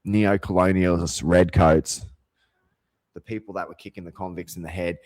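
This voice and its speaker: A man's voice: low-pitched, steady tone, moderate pace, a little mellow, dry recording, poor audio. A man delivering a rambling, profane rant as a vlogger. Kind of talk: rambling monologue.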